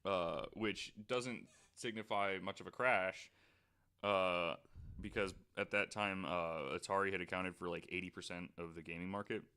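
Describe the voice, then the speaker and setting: A man's voice: deep voice, steady tone, moderate pace, bright, forceful tone, clean tone. A man hosting a casual podcast panel, rambling through a story and reading out figures from his notes, swearing now and then. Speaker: podcast host presenting the story.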